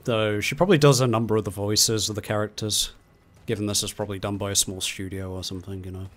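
Low-voiced man chatting over a game. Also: fairly even intonation, fast, fairly bright tone. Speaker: co-op gaming commentator. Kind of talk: casual chat during gameplay.

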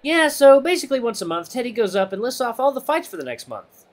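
A man's voice: very high-pitched, big pitch swings, fast talker, pitched above the performer's own voice. A man voicing a brash, friendly male animal fighter in an audio story, boisterous and slangy. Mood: confident.

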